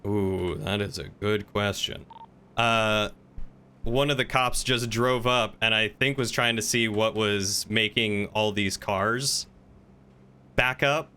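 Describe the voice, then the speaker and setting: Bright, forceful low-voiced man streaming casually. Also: fairly even intonation, takes it slowly, clean tone. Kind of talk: casual live game-stream chat.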